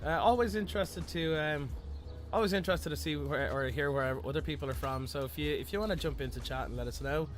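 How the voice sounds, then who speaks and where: A chatty young Irish man with a mild accent and a fairly high voice, rambling through a game stream over a headset. Game music plays clearly under his talk. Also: fast talker. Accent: Irish, Dublin area, mild. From Ireland. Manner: rambling, many restarts, chatty and self-mocking. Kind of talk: casual stream chat while playing a card game. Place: small room indoors.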